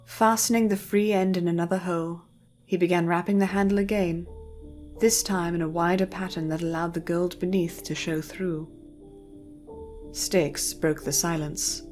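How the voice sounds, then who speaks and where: A woman narrating a scripted story in a measured, literary storytelling manner. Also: on the low side, steady tone, a little mellow, faint music behind.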